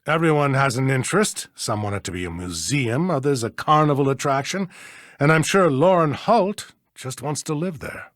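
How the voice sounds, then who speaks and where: A man's voice: medium pitch, lively intonation, moderate pace, fairly bright tone, dry recording, clean studio sound, close to an everyday voice. An actor playing a flustered, courteous older judge in a mystery game, fussy and hesitant in his speech. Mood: earnest, worried. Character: flustered older male small-town judge, evasive, courteous. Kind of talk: scripted mystery game dialogue.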